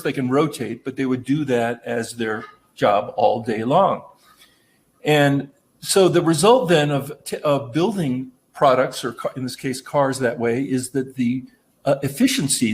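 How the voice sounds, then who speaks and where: Conversational man explaining a training topic. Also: medium pitch. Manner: conversational, explanatory, tag "right?". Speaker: presenter. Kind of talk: training presentation.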